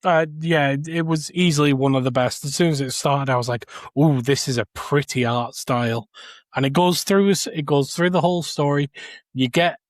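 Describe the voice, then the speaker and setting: A man's voice: a little high, fairly even intonation, moderate pace, no room sound, studio quality. A man co-hosting a podcast, talking casually with a fan's enthusiasm. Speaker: podcast co-host. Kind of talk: casual review chat.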